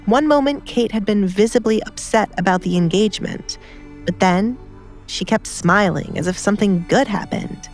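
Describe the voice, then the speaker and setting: A woman's voice: medium pitch, normal speed. A woman reading scripted story narration as an audiobook narrator. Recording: music behind the voice.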